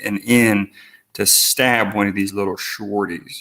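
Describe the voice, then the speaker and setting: A man's voice: fairly low pitch, fairly even intonation, measured pace, sharp tone. A man in his mid-thirties calling in to a livestream with a crude, hesitant question, padded with fillers. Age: 30s. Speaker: caller. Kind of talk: casual call-in question.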